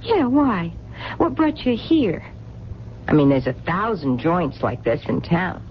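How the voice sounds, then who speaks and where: An actress playing a hopeful working-class waitress in a radio drama, with colloquial diction and an earnest, pleading way of talking. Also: female voice, on the low side, sing-song, takes it slowly. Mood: tender, hopeful. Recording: dull, noisy 1970s radio recording.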